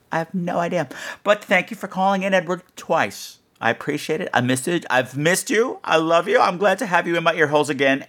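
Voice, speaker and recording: A man's voice: mid-range voice, fairly bright tone. A man hosting a podcast in a casual, chatty way, playful and exclamatory, often repeating phrases for effect. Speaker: podcast host.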